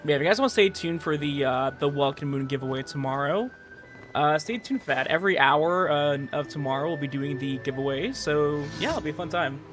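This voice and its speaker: Higher-pitched man chatting casually on a gaming stream. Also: a bit bright. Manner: conversational, halting, repeats words while reading and answering chat. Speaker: livestream host, gamer. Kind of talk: casual livestream chat while gaming. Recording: music playing behind voice. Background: game music.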